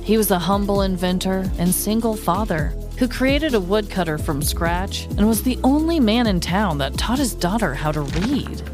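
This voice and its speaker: A woman co-hosting a podcast, telling stories in an informal, expressive way. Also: mid-range voice, normal speed, few pauses, over music. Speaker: podcast co-host. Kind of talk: casual chat and scripted storytelling.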